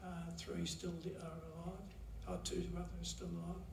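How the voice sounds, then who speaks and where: A man gives a halting, pause-filled eulogy for his mother at a chapel lectern. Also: fairly high pitch, level tone, vocal fry, a little hiss or hum. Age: 70s. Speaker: son giving a eulogy. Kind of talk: memorial eulogy. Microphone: lectern mic, heard from a far camera. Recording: roomy chapel echo from far off.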